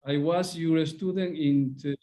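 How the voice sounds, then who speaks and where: A man from Bolivia giving a slow, halting welcome in English as the organizer. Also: a little high, fairly even intonation, warm tone. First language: Spanish. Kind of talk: formal welcome.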